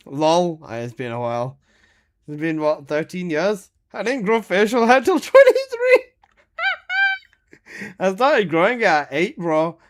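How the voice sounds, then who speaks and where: A man's voice: sits high, wildly swooping pitch, slow, pauses often. A man in his twenties streaming a game, chatting casually with his chat and bantering with lots of swearing and laughter. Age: 20s.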